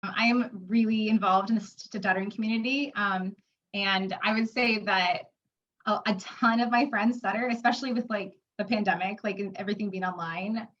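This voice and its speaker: A woman's voice: mid-range voice, steady tone, normal speed, fairly bright tone, dull audio. A woman in her twenties who stutters, with frequent sound repetitions, blocks and fillers, sharing her personal experience. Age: 20s. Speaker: interviewee. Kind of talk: personal testimony.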